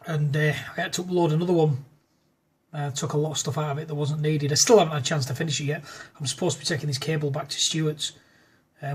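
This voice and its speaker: Man with a higher-pitched voice. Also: a bit bright, breathy edge. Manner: matey, uses radio jargon and call signs. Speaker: amateur radio operator making a demo video. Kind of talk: casual radio chat. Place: indoors at a desk in a front room.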